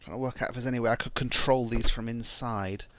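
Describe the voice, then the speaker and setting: A man with a croaky, phlegmy voice from a lingering cold narrates his gameplay in a relaxed let's-play style. He thinks aloud as he goes and halts often on ums and restarts. His pitch sits in an ordinary middle range. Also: quick pace. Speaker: gameplay channel host. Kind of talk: casual let's-play commentary.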